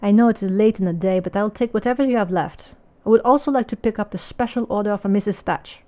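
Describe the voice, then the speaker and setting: A woman voicing a kindly, courteous young man with a French accent in an audiobook, speaking politely and very clearly. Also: mid-range voice, quick pace, a little mellow, close to an everyday voice.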